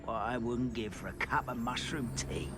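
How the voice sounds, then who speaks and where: An actor playing a gruff, menacing male goblin guard in a video game, with a theatrical delivery. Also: mid-range voice, normal speed, close to an everyday voice. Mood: grumbling. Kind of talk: scripted video game villain barks.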